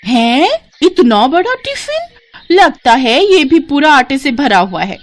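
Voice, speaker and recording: A woman puts on a sly, envious village neighbour from a cartoon tale. Her voice is very high and swoops about as she schemes and exclaims. A little noise is behind it. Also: sing-song, slow, exaggerated voice. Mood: greedy scheming.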